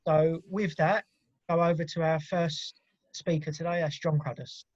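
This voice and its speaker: A man facilitating an online meeting, giving a brisk, businesslike and informal introduction. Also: a little high, little pitch movement, slightly rough, no room sound, lo-fi sound. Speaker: meeting facilitator, political staffer.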